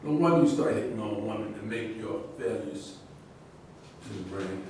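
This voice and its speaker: A man's voice: lowish voice, expressive, moderate pace, a little mellow, lightly acted. A man in a staged reading playing a sardonic, needling accuser. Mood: mocking. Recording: distant echoey room, faint noise.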